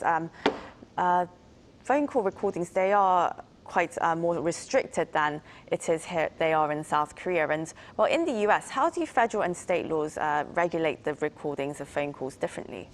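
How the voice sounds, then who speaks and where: Low-pitched woman hosting a news discussion. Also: lively intonation, moderate pace, a little hiss or hum. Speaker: news programme host. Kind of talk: broadcast news interview with scripted questions.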